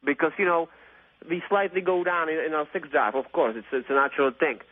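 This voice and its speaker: Male radio caller, late 30s, slightly high pitch, gushing and repetitive, thin telephone sound. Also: brisk, no room sound. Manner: effusive, rambling, repetitive, mildly profane. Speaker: phone-in caller. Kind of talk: casual, opinionated radio call-in chat. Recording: tinny phone line on radio.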